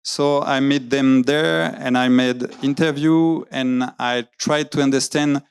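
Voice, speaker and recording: A man's voice: mid-range voice, fairly even intonation, a little slow, vocal fry, clean tone. A man giving a talk in English, hesitating often, searching for words and restarting his sentences. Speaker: graphic novelist giving a talk. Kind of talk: public talk with slides. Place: lecture venue.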